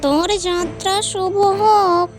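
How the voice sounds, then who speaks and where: Woman as a high-voiced, stern hermit sage. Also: slow, over music. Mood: solemn, instructive. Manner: solemn, imperious. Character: stern female hermit sage disturbed in meditation. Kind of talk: Bengali fairy-tale cartoon dialogue.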